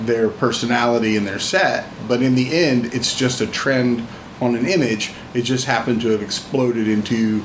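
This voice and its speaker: A man's voice: mid-range voice, fairly even intonation, normal speed. A man in his fifties chatting casually and explaining things informally, like a veteran tattooer talking shop. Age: 50s. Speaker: veteran tattoo artist, podcast guest. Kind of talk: casual shop-talk conversation. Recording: roomy, noisy room with hum.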